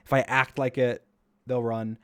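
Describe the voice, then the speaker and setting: A man's voice: medium pitch, flat delivery, unhurried, fairly bright tone, smooth. A man casually commenting on his own gameplay clips during a stream, rambling and repeating himself with enthusiasm.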